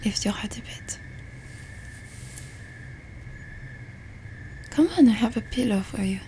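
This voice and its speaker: A woman playing a playful, teasing, affectionate young French roommate in an intimate ASMR roleplay, speaking softly and without hurry. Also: fairly high pitch, slight background noise, close to an everyday voice. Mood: coaxing, affectionate. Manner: soft, unhurried, breathy whispering, teasing.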